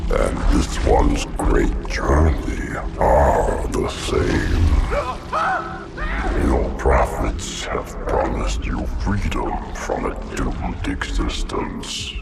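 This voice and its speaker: An actor playing a monstrous ancient hive-mind creature in a video game, deep and gravelly, making solemn, grandiose pronouncements. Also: male voice, expressive, drawn-out words, over loud music, heavily acted. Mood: commanding, solemn.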